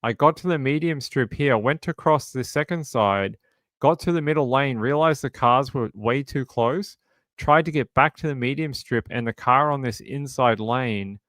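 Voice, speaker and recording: Australian guy, about forty, streamer, casual rambling chat, mid pitch, dry close mic. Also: fairly bright tone, no echo. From Sydney, Australia. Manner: rambling, self-correcting storyteller. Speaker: true crime streamer.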